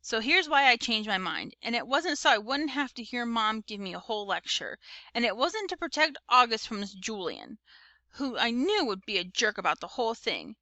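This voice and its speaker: A woman's voice: on the high side, big pitch swings, moderate pace, sharp tone, dry recording, exaggerated voice. A woman voicing a good-natured fifth-grade boy who narrates a storybook in a casual, conversational way. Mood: reflective. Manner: casual, conversational, youthful slang.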